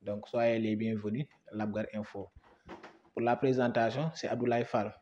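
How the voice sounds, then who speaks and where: A man's voice: on the low side, level tone, moderate pace, darkish tone, slight background noise. A young man from Senegal, a history student, giving a guided museum tour, hesitant and full of fillers and repeated words. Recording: dull lo-fi sound.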